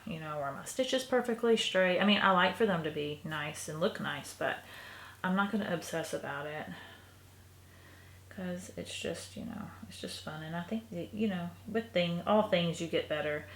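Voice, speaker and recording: A sniffly woman with a low, gravelly voice muses softly as she works, her words often drifting down to a half-whisper. The sound is echoey and roomy. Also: normal speed, slight background noise. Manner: soft, musing, often trailing into a half-whisper. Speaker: crafter showing a stitching project. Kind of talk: casual crafting tutorial. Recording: echoey room recording.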